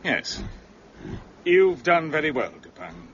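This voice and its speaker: A vain, irascible prefect of police for a radio play. He blusters pompously in a high register. The recording is dull, with clear noise behind him. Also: big pitch swings, slow, a bit bright, exaggerated voice. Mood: self-satisfied. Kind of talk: radio drama acting.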